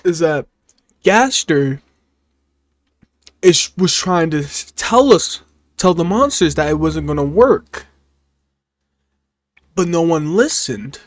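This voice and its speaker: A man's voice: a little high, dry recording. A man chatting casually as a video host, giving reaction commentary and theories.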